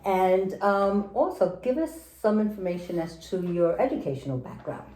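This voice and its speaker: A woman's voice: medium pitch, animated, moderate pace, dark tone, roomy sound, rough recording. A woman judge hosting a formal interview, gracious and formal with some hesitant fillers.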